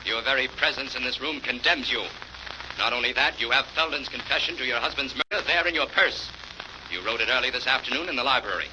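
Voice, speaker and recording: An actor playing a cool, confident crime-fighter in an old radio mystery, speaking with assured deliberation. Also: male voice, medium pitch, a little fast, close to an everyday voice. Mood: ominous, accusing. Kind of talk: 1940s radio acting. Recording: dull, faintly noisy old radio recording.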